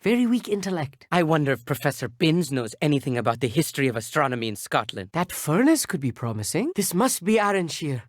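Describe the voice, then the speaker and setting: An actor playing an earnest, bookish teenage schoolboy wizard in a video game, polite and a little nervous, with precise, slightly formal speech. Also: male voice, a little high, very animated, brisk, few pauses, dry recording, clean studio sound. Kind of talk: scripted video game dialogue.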